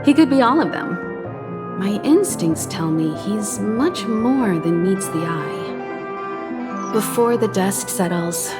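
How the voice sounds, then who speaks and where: A woman voicing a poised crew leader in video game dialogue, measured and authoritative, with music behind her. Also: mid-range voice. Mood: thoughtful. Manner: measured and authoritative, gives calm orders.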